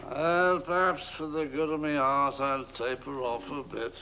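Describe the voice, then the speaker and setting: A drunken, sentimental British gentleman from a comic film. His male voice is gravelly yet sharp, drawling very slowly. The soundtrack carries faint noise. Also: medium pitch, little pitch movement, low-quality recording. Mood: tipsy, soothing. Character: tipsy, sentimental English gentleman at a pub.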